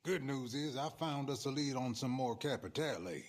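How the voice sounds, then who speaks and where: An actor playing a shady, folksy frontier fixer in a video game, with an American accent and a knowing drawl. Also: male voice, medium pitch, expressive, moderate pace, close to an everyday voice. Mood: sly, ominous. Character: shady male frontier fixer, sly, folksy outlaw schemer. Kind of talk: video game cutscene acting.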